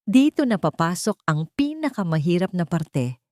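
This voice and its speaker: A woman voicing a serious, blunt lawyer in his forties, calm and formal, in a narrated drama story. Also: fairly low pitch, normal speed, no room sound, studio quality. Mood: measured, serious.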